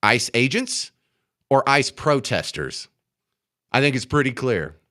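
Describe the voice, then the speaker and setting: Indignant American male talk radio host, animated, sharp. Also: medium pitch, takes it slowly. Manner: emphatic, indignant, repeats phrases for emphasis. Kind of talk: opinionated political talk radio monologue. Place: radio studio.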